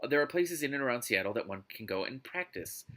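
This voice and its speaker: A suave, commanding male lover from a romance audiobook, acted out by a man. He speaks at a middle pitch with a bright edge, smugly teasing and sardonic. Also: expressive, fast talker.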